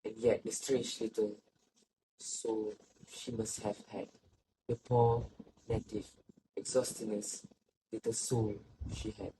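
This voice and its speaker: A man playing a sorrowful, reflective old grandfather in a stage play, speaking in a slow, solemn, literary way. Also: lowish voice, level tone. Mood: hushed, sorrowful. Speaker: student actor. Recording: faint background noise.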